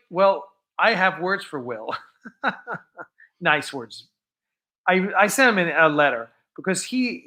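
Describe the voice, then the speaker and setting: A man's voice: on the high side, bright, forceful tone. A man hosting a fan livestream, chatting casually and answering viewer questions in an informal, rambling way. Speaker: host of a fan film livestream.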